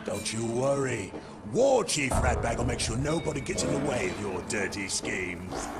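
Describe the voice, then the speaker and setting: Gloating orc, wildly swooping pitch. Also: male voice, big pitch swings, moderate pace, gravelly. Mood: sly boasting. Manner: boastful, swooping between growl and squeal. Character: gloating, scheming orc war chief, crude and cowardly. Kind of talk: video game cutscene acting. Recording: loud dramatic music behind.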